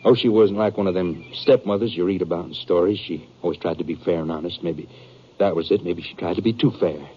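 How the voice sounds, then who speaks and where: An actor playing a bitter, brooding western outlaw in a 1950s radio drama, speaking in halting, rustic, confessional fashion. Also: male voice, sits low, a little hiss or hum, natural voice. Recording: dull 1950s radio recording.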